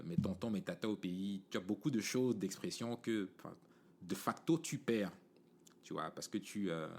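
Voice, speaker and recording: Deep-voiced Cameroonian man chatting casually. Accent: Cameroonian French. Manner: conversational, many fillers and "tu vois" asides. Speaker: podcast host. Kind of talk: casual monologue.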